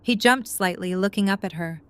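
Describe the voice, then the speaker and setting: A woman narrator with a medium-pitched voice reads scripted prose for an audio drama. She tells the story between the characters' lines. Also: normal speed.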